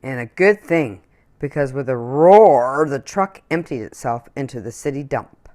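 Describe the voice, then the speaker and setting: A woman reading a children's picture book aloud in an expressive storytelling manner. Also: a bass voice, a little slow.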